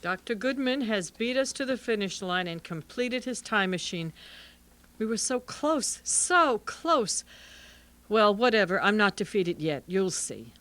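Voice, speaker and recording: An imperious female scientist, played by a woman in a golden-age radio play. Her pitch swings widely and dramatically, full of stubborn, competitive pride. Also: animated, measured pace, a bit bright. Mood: bitter, scheming. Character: proud, competitive woman scientist, bitter rival, stubbornly undefeated.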